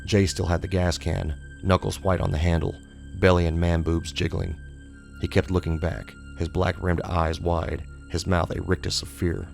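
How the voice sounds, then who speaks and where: A man's voice: sits low, little pitch movement, moderate pace, gravelly, a little mellow, faint music behind. A man reading scripted horror fiction as an audiobook narrator.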